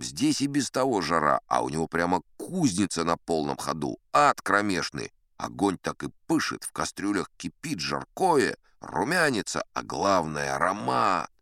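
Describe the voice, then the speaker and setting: An actor playing a genial old sea captain, spinning tall yarns in a folksy, wry, conversational way for a dramatised audiobook. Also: male voice, low voice, a bit bright, dry recording, clean studio sound, exaggerated voice.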